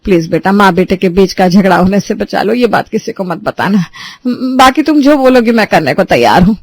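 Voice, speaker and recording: A woman voicing a soft-spoken middle-aged mother-in-law who coaxes and gets flustered. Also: medium pitch, lively intonation, fairly quick, husky, dry recording. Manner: coaxing, flustered.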